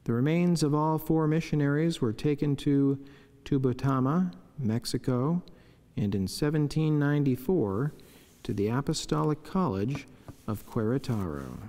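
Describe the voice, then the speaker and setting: A middle-aged friar reading a devotional reflection in a solemn, measured, formal way from a chapel lectern. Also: male voice, medium pitch, lively intonation, dark tone. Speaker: friar preaching. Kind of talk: read devotional reflection and prayer. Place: wood-panelled chapel indoors, at a lectern. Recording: long echoing chapel reverb.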